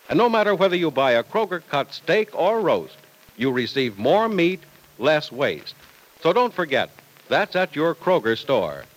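A man's voice: medium pitch, rough recording. A man announcing on old-time radio, delivering scripted sales copy and narration with emphatic, careful phrasing.

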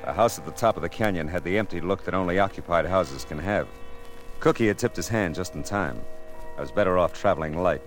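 An actor playing a wry, tough, world-weary American private detective in 1950s radio drama style, with sardonic delivery. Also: male voice, sits low, a little fast, lightly acted. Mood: wry, tense. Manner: sardonic, wisecracking. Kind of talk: 1950s radio drama acting, hard-boiled first-person narration. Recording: old radio recording with music.

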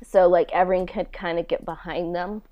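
A woman speaks in a casual, halting podcast style, with a lot of "like" as she goes. Her voice sits on the low side and is very clear and smooth, recorded dry and close. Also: little pitch movement, no room sound, lo-fi sound. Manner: halting, conversational, frequent "like". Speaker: podcast host and creator being interviewed.

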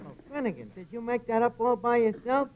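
Folksy New York barroom wiseguy, sentimental and ungrammatical, very animated, old radio comedy on a tinny recording. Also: male voice, extremely high pitch, brisk, a little hiss or hum. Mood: wry, placating. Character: Archie, folksy wisecracking tavern man, mangles grammar, sentimental. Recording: muffled lo-fi vintage radio recording.

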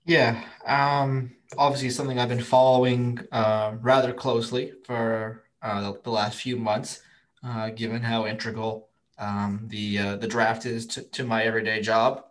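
A man's voice: mid-range voice, little pitch movement, measured pace. Male guest, mid voice, circles his point with restarts. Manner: thoughtful, lots of fillers and restarts. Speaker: guest, prospect writer. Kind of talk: casual podcast interview.

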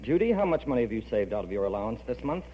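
A man's voice: mid-range voice, very animated, very fast, slightly rough. An actor playing an exasperated, sarcastic middle-aged father in a 1940s radio comedy, dry and weary. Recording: muffled, hissy, humming old radio broadcast.

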